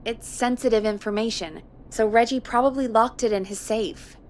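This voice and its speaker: An actress plays a small-town radio producer, a woman with a fairly high voice. She is frank and practical, with a dry matter-of-fact delivery in a video game, over faint background noise. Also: normal speed, natural voice. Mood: matter-of-fact, wry. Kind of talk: video game voice acting, conversational.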